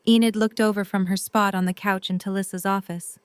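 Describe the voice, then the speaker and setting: A woman's voice: medium pitch, fairly even intonation, brisk, clear, resonant tone, no room sound. A woman reading scripted story narration as the narrator of a drama.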